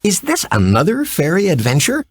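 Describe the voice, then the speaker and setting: A man's voice: high voice, huge pitch swings, moderate pace, husky, dry recording, voice pushed up, exaggerated voice. A man voicing an earnest, polite little girl in a children's storybook, exclaiming and sincere. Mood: startled.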